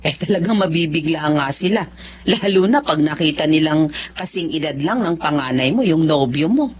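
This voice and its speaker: A woman's voice: low voice, expressive, normal speed. A woman playing a concerned, questioning Filipina aunt and confidante in a radio drama. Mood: doubtful, advising. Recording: muffled, noisy radio drama with hum.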